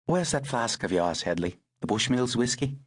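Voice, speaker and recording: A clipped, dryly commanding older woman of high standing, put on by a male storyteller. Her voice sits well above his own with husky breathiness and a dry, close sound. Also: lively intonation, moderate pace, dry recording. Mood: brisk, wry. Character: sharp older aristocratic woman, brisk and wry.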